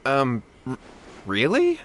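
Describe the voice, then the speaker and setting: A man's voice: medium pitch, wildly swooping pitch, takes it slowly, noisy background. A man playing an anxious, deferential young man in a video game, speaking in an earnest, pleading manner. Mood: flustered, deferential. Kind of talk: English video game voice acting.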